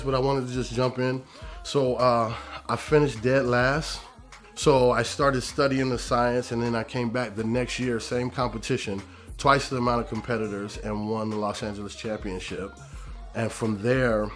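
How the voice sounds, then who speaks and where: A man who works as a singer, trainer and coach, telling personal stories casually in an interview. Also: medium pitch.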